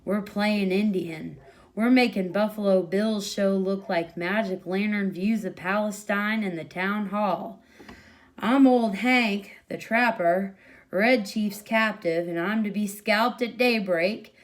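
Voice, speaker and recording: A woman's voice: medium pitch, slow, natural voice. A woman voicing a battered male small-time kidnapper in a storybook, with a wry, folksy way of talking. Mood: wry, rueful. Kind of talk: storybook character reading.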